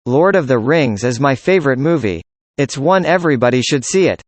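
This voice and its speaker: A man's voice: mid-range voice, expressive, a little fast, no room sound. Man reading scripted classroom dialogue. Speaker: student in a dialogue.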